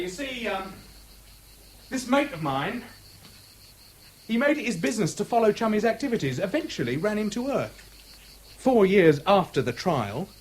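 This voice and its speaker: Slangy affable british guy with a grudge, high voice, 70s radio play, swoopy intonation. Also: husky, heavily acted. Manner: colloquial, slangy, casually insinuating. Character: chatty, outwardly affable man nursing a vengeful grudge. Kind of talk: 1970s British radio drama acting. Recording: 1970s radio drama with music.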